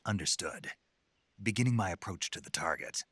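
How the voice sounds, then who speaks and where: An actor playing a gruff, terse American soldier on a stealth mission in a video game, with a gravelly voice. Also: male voice, expressive, moderate pace, background noise. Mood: terse, focused. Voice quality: gravelly, low. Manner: terse, hushed, military. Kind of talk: dramatic video game acting.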